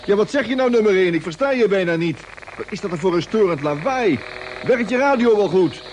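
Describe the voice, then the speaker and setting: Excitable high-voiced spaceship radio operator. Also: male voice, fairly quick. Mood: urgent, straining. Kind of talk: science fiction radio drama acting. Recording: noisy old radio play recording.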